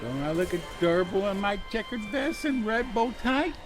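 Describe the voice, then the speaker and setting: High-pitched naive teddy bear. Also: male voice, expressive, normal speed, music in the background. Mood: defensive, innocent. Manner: innocent, hesitant, easily sidetracked. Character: naive, cute, slightly dim male teddy bear. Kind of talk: comic audio drama acting.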